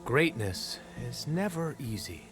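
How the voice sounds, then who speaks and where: An imperious, vain ruler in a video game. He declaims slowly and pompously, his pitch swinging widely as he commands, with music clearly behind him. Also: very animated. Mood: grandiose, proud. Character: proud imperious male expedition ruler, vain and glory-hungry. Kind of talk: video game dramatic acting.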